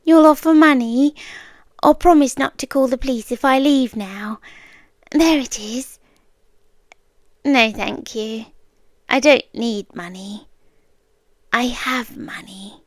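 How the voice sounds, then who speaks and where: A British woman playing a polite, obsessive yandere intruder in an ASMR roleplay, soft-spoken and often slipping into whispers, coolly teasing. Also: a little high, no echo, studio quality. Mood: amused, insistent. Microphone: close microphone, dry.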